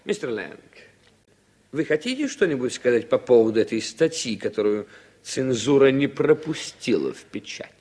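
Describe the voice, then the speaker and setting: An actor playing a formal, coolly prosecutorial committee investigator in a 1960s Soviet radio drama. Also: male voice, medium pitch, very animated, normal speed, darkish tone. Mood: formal, declamatory.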